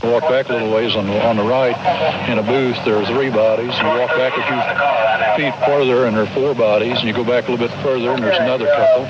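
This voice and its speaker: A man's voice: medium pitch, steady tone, fast, muffled recording, very noisy. A man giving an unscripted eyewitness account on an archival news recording made outdoors.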